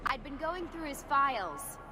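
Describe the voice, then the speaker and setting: An actress playing a composed young woman scientist in a video game, speaking in a matter-of-fact, quietly earnest way. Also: sits high, sing-song, a little slow, heavily acted. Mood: regretful, earnest. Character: composed, guilt-tinged young woman scientist guiding an agent. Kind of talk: naturalistic video game cutscene acting.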